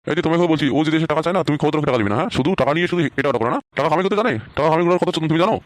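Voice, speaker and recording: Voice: medium pitch, very fast, dry recording, close to an everyday voice. A performer with a Bengali accent voicing a poor, hot-tempered father who grumbles and scolds in a comic cartoon. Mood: angry scolding.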